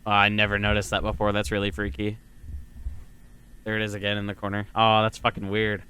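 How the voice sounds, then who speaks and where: A man's voice: on the low side, monotone, a little fast, sharp tone, clear, resonant tone. A man giving casual, slangy, profanity-laced reaction commentary as a YouTuber. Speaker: YouTuber reacting to horror videos.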